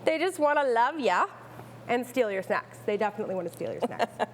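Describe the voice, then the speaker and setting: A woman's voice: fairly high pitch, expressive, brisk, a little hiss or hum. A woman chatting casually as a guest expert in an interview, playful, enthusiastic and informal. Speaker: guest expert, pet rat owner and rescuer.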